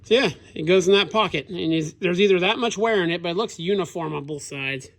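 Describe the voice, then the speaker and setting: A man's voice: high-pitched, brisk, a bit bright. Man with a cold musing in his shop. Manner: thinking aloud, plain-spoken, musing. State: congested from a cold, sniffling. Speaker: machinist, channel host. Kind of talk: casual shop commentary while working. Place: machine shop workbench.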